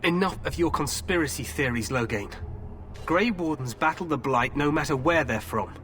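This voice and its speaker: A man's voice: on the high side, expressive, moderate pace, a bit bright, a little hiss or hum. An actor playing a young, glory-loving king in a fantasy video game, speaking in a grand, self-assured way. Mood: confident, eager.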